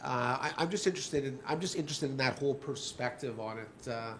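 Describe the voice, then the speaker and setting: A man's voice: medium pitch, fast talker, fairly bright tone, slight background noise. A man posing a long question to a medical panel, rambling and filling gaps with "you know" and "like.".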